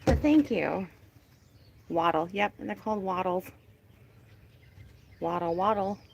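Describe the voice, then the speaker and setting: A woman chatting casually on a live stream from her chicken coop outdoors, talking to her birds, with a calm, disinterested-sounding voice. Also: medium pitch, unhurried, gravelly, slightly rough. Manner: chatty, laughs often, talks to her birds. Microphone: phone held in hand.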